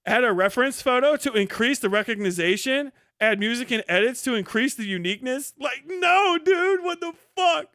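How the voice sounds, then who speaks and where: A man with a high, clean and smooth voice, recorded dry and close. He hosts a livestream in a casual reaction style, blunt and mocking, with plenty of swearing. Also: lively intonation, normal speed, hardly any pauses, sharp tone, no room sound. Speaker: livestream host. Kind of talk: casual live reaction commentary, reading posts aloud.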